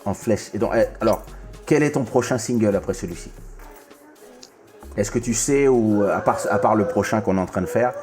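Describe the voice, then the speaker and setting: A man's voice: on the low side, moderate pace, music in the background. A man from Congo hosting a casual chat, talkative and often stumbling and restarting his sentences. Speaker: podcast host.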